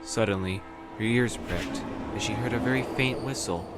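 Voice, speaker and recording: A woman narrating a fan story in a steady storytelling manner. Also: rumbling low, normal speed, loud music behind the voice.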